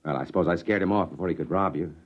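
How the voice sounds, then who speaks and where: An actor playing a stubborn, emphatic American reporter in a 1950s radio drama. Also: male voice, deep voice. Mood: concerned, firm. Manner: brisk, emphatic, sceptical. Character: stubborn, ambitious male newspaper reporter. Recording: dull 1950s radio recording with music.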